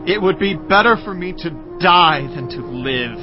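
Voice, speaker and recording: An anguished, high-pitched prophet. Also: male voice, animated. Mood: bitter, indignant. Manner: impassioned, pleading, drawn-out declamation. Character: anguished, fervent prophet praying and protesting to God. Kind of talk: dramatized scripture acting. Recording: dull band-limited audio under clear music.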